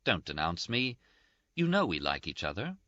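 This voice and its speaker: A calm young woman speaks softly and soothingly, as a male narrator reads her part. The tone is bright and gently lively. The sound is dry and slightly muffled. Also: low voice, normal speed, no echo, exaggerated voice. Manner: soft, soothing, light. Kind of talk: audiobook character voice.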